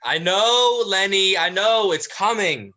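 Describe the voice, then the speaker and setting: A man playing an anxious, awkward eldest brother turned killer in a horror play reading, rambling and halting in delivery. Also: high voice, lively intonation, background noise. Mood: agitated.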